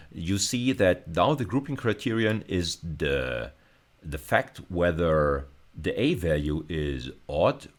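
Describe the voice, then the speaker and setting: A man lecturing at university level in an informal screencast style, explaining hesitantly and often repeating and rephrasing himself. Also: very deep voice.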